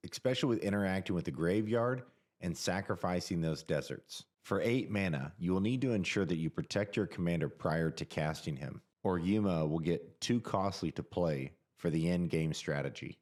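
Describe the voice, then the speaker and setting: A man's voice: low-pitched, a little mellow. A man giving scripted voice-over narration, evenly reading out card text and deck details.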